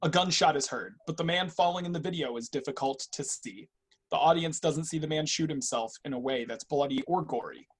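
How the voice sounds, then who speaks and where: Male stage directions reader, husky, slightly high voice, scripted narration. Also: brisk. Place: indoors on a video call.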